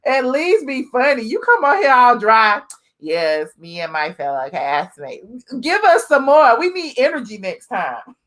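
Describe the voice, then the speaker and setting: A woman's voice: a little high, expressive, unhurried, fairly bright tone. A woman hosting a live stream, talking casually and teasing callers bluntly. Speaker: live show host.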